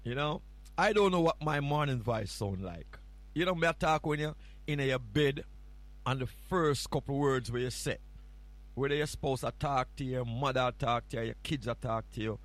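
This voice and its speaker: A man's voice: mid-range voice, moderate pace. A man with a Jamaican accent chatting casually as a radio host, often repeating words and phrases for emphasis. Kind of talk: casual morning radio show chat. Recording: music playing behind the voice. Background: music.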